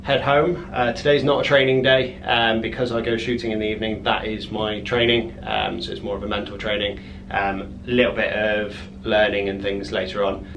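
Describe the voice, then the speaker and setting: A man, a personal trainer talking through his day in a relaxed, rambling vlog with frequent ums. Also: on the low side, moderate pace, creaky, background noise. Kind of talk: casual vlog narration. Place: home, car and outdoor walk.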